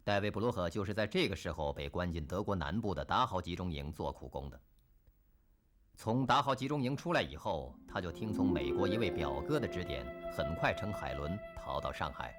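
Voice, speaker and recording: A man reading scripted documentary narration in a measured, solemn delivery. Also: deep voice, expressive. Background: music.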